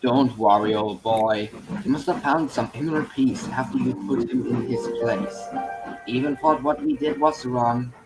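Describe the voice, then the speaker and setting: Casting: a man as a steady, consoling engine friend over loud music. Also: medium pitch, measured pace, close to an everyday voice, rough recording. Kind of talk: fan-made film dialogue.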